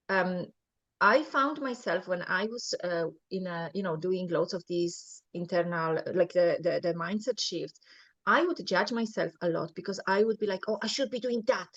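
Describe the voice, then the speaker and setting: Polish lady coach talking earnest, keeps asking right?, muffled lo-fi sound. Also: mid-range voice. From Poland. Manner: earnest, often checks in with "right?". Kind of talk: coaching advice in an interview. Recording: muffled lo-fi audio.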